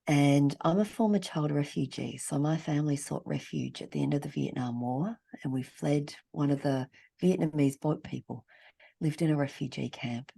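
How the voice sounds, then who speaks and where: Woman as a therapist guest: low, gravelly, thoughtful delivery. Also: dark tone. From Australia. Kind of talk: reflective interview talk. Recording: podcast remote call.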